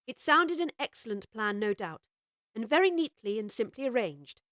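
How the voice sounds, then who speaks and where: A woman narrating a full-cast audiobook of a children's classic in a measured, expressive way. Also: a little high, dry recording, clean studio sound. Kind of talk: scripted storybook narration.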